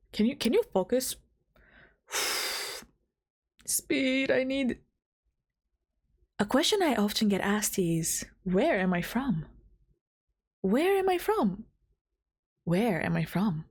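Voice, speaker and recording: Young woman with a light Albanian accent and a blocked nose. Frazzled, self-scolding VTuber at a home mic, fairly high and very lively. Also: slow pace, pauses often, husky. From Balkans, Southeastern Europe. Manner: frazzled, scolds herself, giggly, restarts lines. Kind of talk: casual self-talk between recording takes. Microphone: home recording mic.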